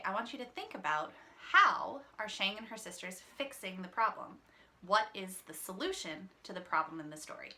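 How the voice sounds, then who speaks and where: A woman reads a storybook to children in a bright voice with animated pitch. She is expressive and explains things simply, in the way of a teacher. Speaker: teacher reading a picture book aloud.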